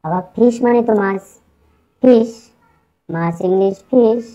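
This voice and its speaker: A woman's voice: on the high side, measured pace, lo-fi sound, lightly acted. A woman voicing a cheeky, boastful young village boy in a children's puppet story. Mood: eager. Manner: boastful, playful.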